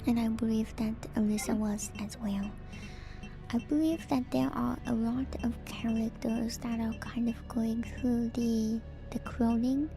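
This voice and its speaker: A woman streamer giving a gentle, soothing ASMR chat in a soft, breathy whisper, close to the microphone. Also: a little high. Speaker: streamer and host. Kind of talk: ASMR livestream sign-off chat.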